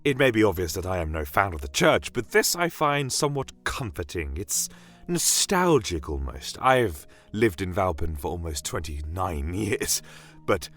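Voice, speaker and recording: Deep-voiced kindly fantasy doctor, acted by a man. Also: exaggerated voice. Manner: reflective, wistful, gently formal storytelling. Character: kindly, sentimental town doctor of a fantasy winter kingdom. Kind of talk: in-character fantasy monologue to a listener. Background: music.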